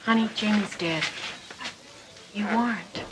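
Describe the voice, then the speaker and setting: A frank, pushy woman friend played by an actress in a TV drama. She coaxes in a mid-pitched voice, with clear noise behind her. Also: slow, lightly acted. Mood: urging. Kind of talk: naturalistic television drama dialogue. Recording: TV soundtrack with clear background noise.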